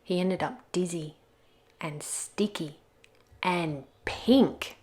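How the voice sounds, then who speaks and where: Slow-paced woman reading a children's story. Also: fairly low pitch, a little mellow. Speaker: storybook read-aloud host. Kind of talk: expressive children's story narration.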